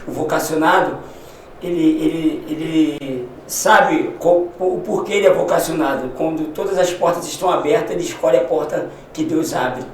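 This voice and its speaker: A man, a missionary guest, speaking in casual conversation, halting and emotional, often restarting his sentences. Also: a little high, distant mic, slight background noise. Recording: echoey room, somewhat off-mic.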